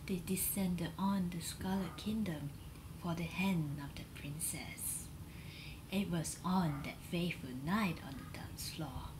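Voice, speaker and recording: A woman acts out a seductive fantasy princess in a whispered ASMR bedtime story. Her breathy voice sits fairly low and moves very slowly, with dramatic pauses. Noticeable noise runs behind the whisper. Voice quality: breathy, mostly unvoiced whisper. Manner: slow, sensual, dramatic pauses. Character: seductive princess of a dark fantasy kingdom, recounting her captivity.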